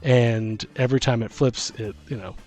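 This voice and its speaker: A man's voice: mid-range voice, steady tone, normal speed, a little mellow. A man in a casual, rambling chat, brainstorming ideas as a tabletop game host. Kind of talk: casual chat about fantasy tabletop games. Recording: music playing behind the voice. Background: music.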